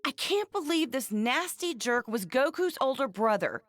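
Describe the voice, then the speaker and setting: A woman playing a feisty, opinionated wife and mother in a video game, fiercely protective of her family and quick to get indignant. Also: sits high, sing-song, no room sound. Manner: opinionated, emphatic, openly indignant. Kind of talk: scripted video game character banter.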